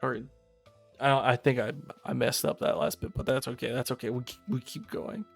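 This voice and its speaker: A man's voice: medium pitch, fairly even intonation, fairly quick, gravelly, soft background music. A man reading a visual novel aloud on a livestream, narrating and stumbling over lines with casual asides.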